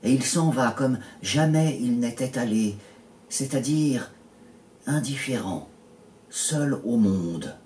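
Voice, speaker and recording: A man reading his poetry in a literary style, measured and pausing between short phrases. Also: medium pitch, expressive, darkish tone. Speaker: poet reading own poems. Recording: roomy echo, distant mic, faint noise.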